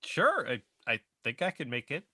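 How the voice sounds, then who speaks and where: A woman's voice: extremely low pitch, wildly swooping pitch, normal speed, bright, forceful tone, heavily acted. A woman playing an easygoing young amateur investigator on a fiction podcast, casual and wry.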